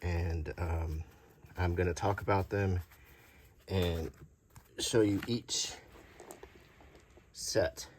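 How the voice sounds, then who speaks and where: A middle-aged man giving a casual show-and-tell as a hobby collector, talking softly in an unhurried, halting way. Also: low-pitched, steady tone, slight background noise. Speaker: hobby collector presenting a video.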